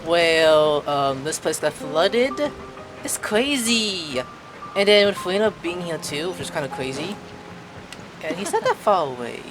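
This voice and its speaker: A woman giving casual gameplay commentary, excitable, with blurted asides and crude joking. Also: on the low side, measured pace. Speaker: gameplay streamer. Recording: game music behind the voice.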